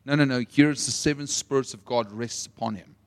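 A young South African man preaching a sermon, telling a story with swings between loud emphasis and quiet asides. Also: mid-range voice, brisk. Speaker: pastor.

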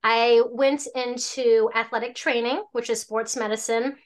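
A woman's voice: on the high side, fairly even intonation, measured pace. A woman in her forties, born in Hong Kong and raised in Alaska, chatting as a guest about her life in a rambling, casual way with many restarts. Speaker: tea shop owner, guest. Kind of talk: casual interview storytelling.

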